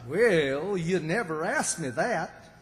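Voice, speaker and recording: An actor plays a good-natured, slow-witted country man in a radio comedy. His voice sits fairly high and swings up and down at an unhurried pace, ringing in a reverberant hall. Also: animated, a bit bright. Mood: puzzled. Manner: folksy, slow-witted, earnest. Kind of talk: old-time radio comedy acting. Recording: reverberant hall stage recording.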